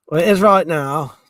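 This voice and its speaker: A man's voice: on the high side, fairly bright tone, no echo, studio quality. A middle-aged man chatting casually on a live stream, reading out chat messages, swearing freely and restarting his sentences.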